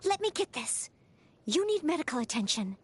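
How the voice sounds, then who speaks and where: Very high-pitched earnest young maid knight. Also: female voice, very animated, moderate pace. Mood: earnest, dutiful. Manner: earnest, polite, theatrical delivery. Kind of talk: anime video game character voice lines. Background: music.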